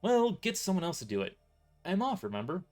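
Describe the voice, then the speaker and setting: A man's voice: high-pitched, very animated, a little fast, darkish tone, soft background music, pitched above the performer's own voice. A man playing a grumpy young mercenary in an audio drama, irritable, sarcastic and foul-mouthed. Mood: exasperated.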